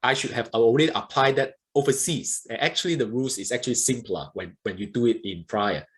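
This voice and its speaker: A man's voice: medium pitch, brisk. Chatty man from Southeast Asia. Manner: chatty, keeps adding "okay?" at the end of points. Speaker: startup visa panelist. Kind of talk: panel discussion answers.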